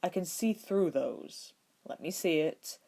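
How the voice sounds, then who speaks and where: A woman reading the part of a calm older man, a protective father, in a measured, polite and firm way. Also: fairly low pitch, creaky, exaggerated voice. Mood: serious.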